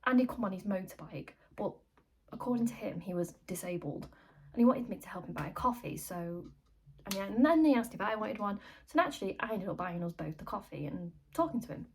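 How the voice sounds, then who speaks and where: A woman's voice: medium pitch, expressive, brisk, slight background noise, natural voice. An actress playing an agitated, chatty woman fed up with pestering strangers, in a blunt, rambling contemporary stage monologue. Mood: exasperated.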